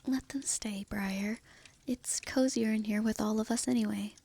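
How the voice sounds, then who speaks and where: A woman's voice: on the high side, steady tone, normal speed, creaky, mellow, husky. A woman whispering as a sleepless young woman in a fantasy audio drama. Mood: hushed.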